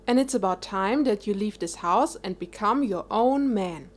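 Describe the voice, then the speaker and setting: A woman's voice: medium pitch, lively intonation, normal speed, lightly acted. A woman playing a breezy, reassuring mother of a grown son in an audio play.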